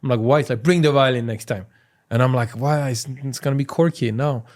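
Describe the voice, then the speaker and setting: A man's voice: mid-range voice, a little fast. A man with a French accent, a comedian guest chatting casually on a podcast and acting out quotes as he tells stories. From France. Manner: animated storyteller, acts out quotes.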